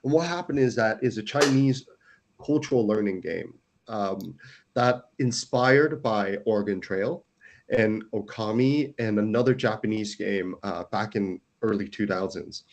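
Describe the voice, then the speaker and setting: Founder, male, lowish voice, earnest, stops and restarts phrases. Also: unhurried, slight background noise. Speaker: startup founder, guest. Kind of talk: casual interview.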